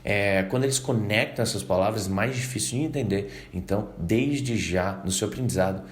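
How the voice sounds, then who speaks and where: Brazilian male English teacher, slightly low voice, lively casual lesson delivery. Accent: Brazilian Portuguese. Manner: animated, acts out learners' reactions, reads English lines slowly.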